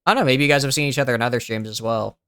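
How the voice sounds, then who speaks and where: Young male streamer, smooth youthful voice. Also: medium pitch, very fast, fairly bright tone, no room sound. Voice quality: youthful-sounding voice. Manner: rambling, chatty, thinking aloud with fillers. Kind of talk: casual gameplay commentary.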